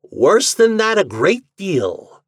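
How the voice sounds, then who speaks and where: Man acting an excitable, unhinged confider, husky and high-swooping. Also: takes it slowly, no room sound, clean studio sound, exaggerated voice. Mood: conspiratorial. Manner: excitable, urgent, conspiratorial. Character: eager, twitchy, unhinged man confiding horrid secrets.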